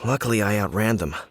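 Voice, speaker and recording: A man's voice: on the low side, close to an everyday voice. A man voicing a flustered fruit merchant who frets and complains indignantly. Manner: fretful, complaining. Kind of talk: video game voice acting.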